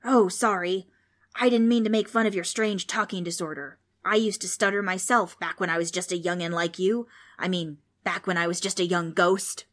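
Woman voicing a loud, crotchety old male ghost. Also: on the low side, steady tone, normal speed, close to an everyday voice. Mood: sheepish. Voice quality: screechy, roaring.

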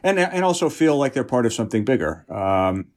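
A man's voice: medium pitch, big pitch swings, brisk, a little mellow. A man speaking as an interview guest, explaining earnestly in organized, promotional phrases. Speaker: interview guest, guild representative.

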